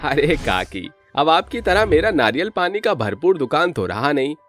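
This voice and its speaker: A man's voice: fairly high pitch, sing-song, normal speed, a bit bright, soft background music, voice pushed up. Man as a chatty, pushy street vendor. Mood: cajoling. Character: chatty, impatient male coconut cart vendor.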